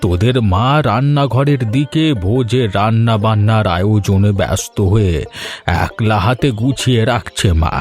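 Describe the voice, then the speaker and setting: A man voicing an affectionate, welcoming old village father in an animated Bengali family story. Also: lowish voice, moderate pace, faint music behind, natural voice. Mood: tender, welcoming.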